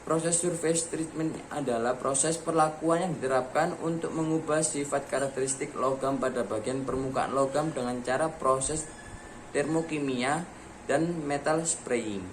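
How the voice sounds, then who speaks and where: A man's voice: on the high side, unhurried, a little hiss or hum, low-quality recording. Man reading a technical explainer. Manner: reads steadily from a script, listing steps in order. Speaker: student presenter. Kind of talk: scripted educational voice-over.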